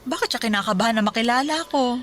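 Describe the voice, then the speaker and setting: An actress playing a kind, gently teasing middle-aged Filipino mother in a radio drama, talking in a warm conversational way. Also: on the high side, expressive, quick pace. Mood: fond, curious.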